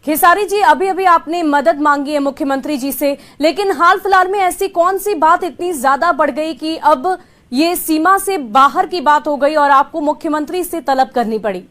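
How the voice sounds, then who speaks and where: A woman reporter with a strikingly high voice conducts an interview. She puts her questions in a formal news style. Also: normal speed, fairly bright tone.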